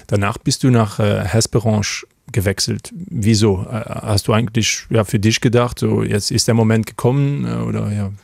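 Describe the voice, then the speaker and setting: A man with a low, gravelly voice hosting a sports interview. He feels his way into each question with pauses and fillers, recorded close and dry. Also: steady tone, a little mellow, no echo. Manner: hesitant questions with many fillers. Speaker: interviewer, podcast host. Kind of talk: conversational interview.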